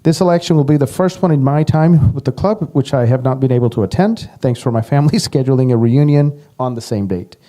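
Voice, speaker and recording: A man with a mid-pitched, mellow voice reads a prepared statement. He is standing in for a candidate at a meeting. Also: fairly quick, hardly any pauses. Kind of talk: reading a prepared statement aloud.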